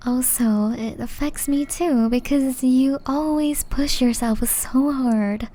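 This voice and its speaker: A woman playing a gentle, centuries-old banished witch in an ASMR roleplay, speaking softly and breathily, often whispering, with a slow, tender, pleading manner. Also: high-pitched. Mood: worried scolding. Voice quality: soft, breathy, largely whispered.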